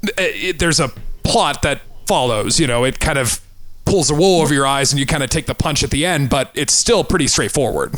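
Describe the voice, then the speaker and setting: A man's voice: mid-range voice, animated, a little fast, a bit bright. A man co-hosting a podcast in casual, rambling film discussion, often repeating words as he goes. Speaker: podcast co-host.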